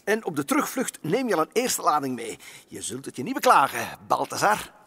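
A man voicing a grandiose, smooth-talking crooked South American president in a comic-book read-aloud, with music behind. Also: on the high side, wildly swooping pitch, a little fast, bright, forceful tone, full character voice. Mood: persuasive.

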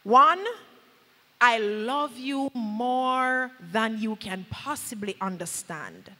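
A woman's voice: fairly high pitch, takes it slowly, bright, forceful tone. A woman in her thirties with a Jamaican accent preaching a sermon, emphatic yet conversational, slipping into Patois, on a handheld mic in a church hall. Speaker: pastor preaching. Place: large church hall at a pulpit. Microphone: handheld microphone. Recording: echoey hall through PA microphone.

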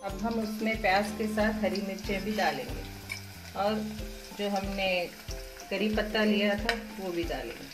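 A woman's voice: medium pitch, unhurried, over loud music. Patient woman explaining a home recipe. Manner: patient, explanatory, repeats steps with "dekhiye". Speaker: home cooking channel host. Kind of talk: step-by-step cooking instruction. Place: home kitchen, cooking at the stove.

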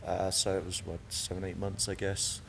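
A low-pitched man speaks in a relaxed, rambling way, restarting his sentences as he answers an interviewer. He is a church cofounder talking casually inside a large chapel, with faint room ambience behind him. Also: normal speed, vocal fry, in a large hall, slight background noise. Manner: easygoing, rambling, many restarts.

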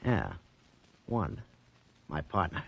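An actor playing a gruff, suspicious casino boss in 1940s radio drama, husky and curt with slangy tough talk. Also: male voice, sits low, huge pitch swings, slow pace, full character voice. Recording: muffled old radio recording.

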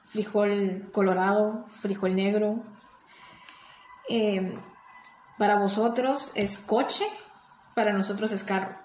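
A woman's voice: mid-range voice, darkish tone, a little hiss or hum. A woman in her thirties with a Guatemalan accent, talking casually and plainly to a phone camera indoors. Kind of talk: casual video message. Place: indoor room. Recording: echoey room.

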